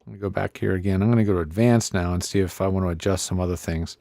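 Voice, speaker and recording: A man with a lowish voice walks through gear in a casual tutorial style. He talks conversationally, as if thinking aloud while he tests things. The sound is close and dry, on a studio podcast microphone. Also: steady tone, fast, creaky, warm tone, no echo. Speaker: presenter of an audio gear tutorial. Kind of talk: casual hands-on product tutorial.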